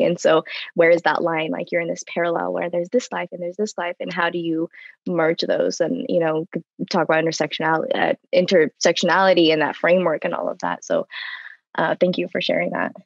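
Earnest woman moderating a panel, low and gravelly, dull dry call audio. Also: dry recording. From Yemeni American. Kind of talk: panel discussion. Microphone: video call.

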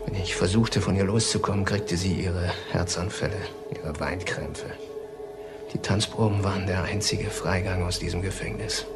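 An actor playing a middle-aged serial killer tormented by his mother, confessing haltingly in a crime radio drama. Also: sits low, steady tone. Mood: bitter, tormented. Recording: music underneath the voice.

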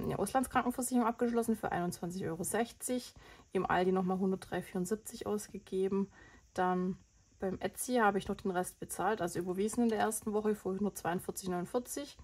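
A woman's voice: mid-range voice, a little mellow, breathy edge. A woman with a cold hosting a budgeting vlog, chatting casually and rambling through her expenses with lots of fillers. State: has a cold. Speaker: budgeting channel host.

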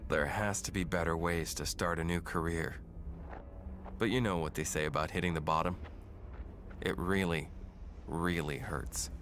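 A man's voice: sits low, steady tone, normal speed, fairly bright tone, faint music behind. A man playing a wry, weary soldier narrating his misfortune in a video game. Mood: wry, rueful.

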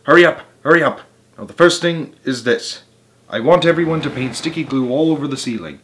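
A frenzied monkey dad as a man performs him, barking orders at a raised pitch. He is bossy and excitable, a loud storybook ringleader who wants everything done immediately. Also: quick pace, slight background noise, lightly acted. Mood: frantic, bossy. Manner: shouting urgent commands. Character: bossy, frenzied monkey father leading a revenge trick.

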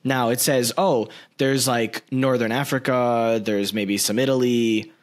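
Animated podcast host with studio mic. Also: male voice, medium pitch, a little slow. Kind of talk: casual interview talk. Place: small home room, video call. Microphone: large studio mic with headphones.

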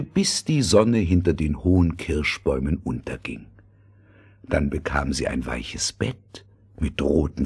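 Male fairy-tale narrator, low breathy husky voice, slow, lively intonation.

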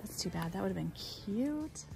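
A woman in her thirties who owns a vintage shop, chatting casually and excitedly as she films a thrift trip in a store and a car. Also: medium pitch, moderate pace, vocal fry. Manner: chatty, excitable, thinks aloud. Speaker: vintage shop owner and vlogger. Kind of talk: casual thrifting vlog chat. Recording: music playing in the background.

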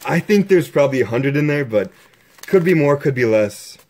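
A young man narrating his own exploration vlog, excitedly exclaiming over what he finds while filming up close with a handheld camera. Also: mid-range voice, normal speed. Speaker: exploration vlogger.

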